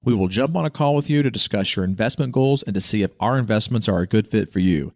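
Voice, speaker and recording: Smooth male podcast host, dry sound. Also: fairly low pitch, fast talker, a little mellow, no room sound. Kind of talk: scripted intro and ad read, then interview questions.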